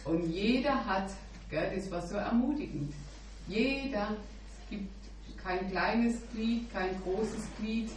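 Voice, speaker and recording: A woman's voice: mid-range voice, slow. A woman sharing earnestly at a church meeting, often adding an affirming "ja" as she speaks. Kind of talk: informal devotional sharing. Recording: echoey room mic from far off with background noise.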